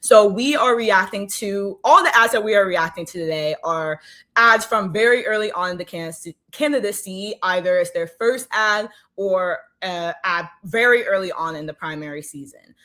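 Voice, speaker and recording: A woman's voice: medium pitch, moderate pace, sharp tone. A young woman hosting a podcast, with an upbeat, emphatic delivery. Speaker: podcast host. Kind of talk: scripted podcast intro and hosting.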